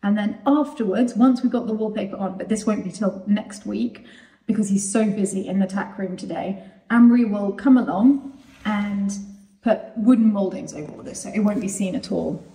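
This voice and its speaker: A woman's voice: mid-range voice, moderate pace, dark tone, poor audio. A woman, chatting enthusiastically to her phone camera in a large bathroom while she works. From England. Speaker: vlog host. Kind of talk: casual vlog narration. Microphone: handheld phone camera at arm's length.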